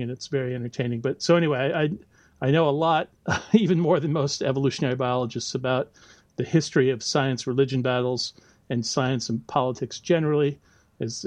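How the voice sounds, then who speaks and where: A middle-aged American man, a biology lecturer, speaking as a podcast guest in a relaxed, explanatory way with frequent ums and some laughs. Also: mid-range voice, no echo. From United States. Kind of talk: casual interview talk.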